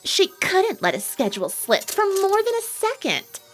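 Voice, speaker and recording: A woman's voice: extremely high pitch, wildly swooping pitch, normal speed, soft background music, heavily acted. A woman voicing a sassy, theatrical yet affectionate female pony magician with a wry drawl, in a dramatised fan fiction story. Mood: exasperated.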